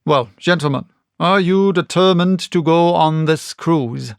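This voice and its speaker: A man's voice: on the high side, measured pace, vocal fry, clear, resonant tone, dry recording, studio quality, pitched above the performer's own voice. A man voicing a disgruntled sea captain in an audiobook, plain-spoken, formal and insistent. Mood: firm, disapproving. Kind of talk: audiobook character reading.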